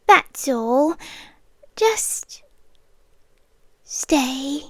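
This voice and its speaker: A woman voicing a possessive, sweetly menacing queen of the forest, who speaks softly, slowly and coaxingly, often in a whisper. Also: high voice, no room sound, clean studio sound. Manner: soft, slow, whispery and coaxing. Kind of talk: scripted audio roleplay.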